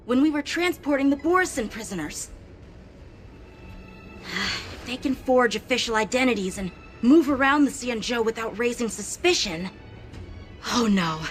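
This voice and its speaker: An actress playing an alert, serious young woman investigating impostors in a video game, urgent and quick to worry. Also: high-pitched. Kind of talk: game voice acting.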